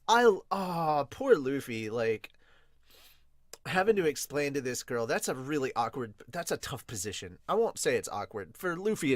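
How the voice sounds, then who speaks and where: A man hosting a podcast with casual, rambling review commentary, excitable and sweary. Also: mid-range voice, dry recording, studio quality. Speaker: podcast host and manga reviewer.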